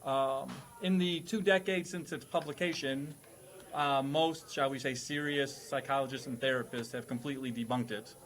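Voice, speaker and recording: A man's voice: medium pitch, steady tone, moderate pace, fairly bright tone. A man hosting a comedy panel quiz with playful, mock-formal announcements and banter. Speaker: quiz host.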